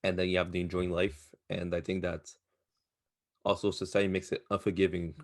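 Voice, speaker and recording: A man's voice: low-pitched, flat delivery, fairly quick. A man in his twenties co-hosting a podcast, giving reflective advice in casual, slangy talk. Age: 20s. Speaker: podcast co-host. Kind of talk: casual opinionated discussion.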